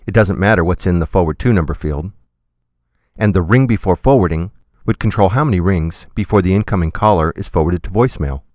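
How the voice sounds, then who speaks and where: A man giving scripted, step-by-step software tutorial narration in a methodical, instructional manner. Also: low-pitched, a little fast, no echo, clean studio sound.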